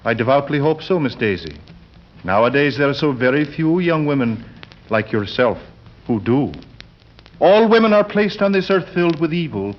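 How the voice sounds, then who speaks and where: An actor playing a brooding religious fanatic in a 1940s radio drama, speaking formally in deliberate, sermonizing phrases. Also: male voice, fairly high pitch, expressive, close to an everyday voice. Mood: fervent, condemning. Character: brooding, pious, sinister male lodger, religious fanatic. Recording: muffled, noisy old 1940s radio recording.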